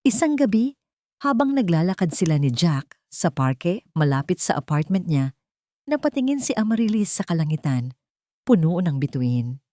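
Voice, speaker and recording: A woman narrating a scripted dramatic love story in short, deliberate phrases. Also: fairly low pitch, very animated, warm tone, dry recording.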